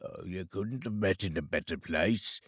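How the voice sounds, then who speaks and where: A man's voice: low-pitched, normal speed, fairly bright tone, husky, no echo, clean studio sound, exaggerated voice. A man voicing a sly, very old rag-and-bone dealer in a storybook reading. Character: grey-haired old rascal shopkeeper, very old man.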